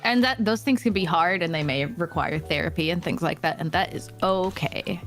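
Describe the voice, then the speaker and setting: A woman's voice: fairly low pitch, moderate pace, fairly bright tone, faint music behind. A woman co-hosting a casual livestream chat, bubbly and laughing often, swearing casually. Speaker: guest co-host.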